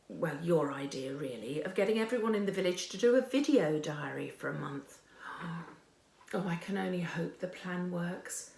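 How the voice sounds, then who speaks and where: A woman's voice: mid-range voice, gravelly, darkish tone. A woman playing an earnest, deferential undercover agent, reporting to a superior in a hesitant, confiding video-diary monologue. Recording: roomy, echoing amateur online recording.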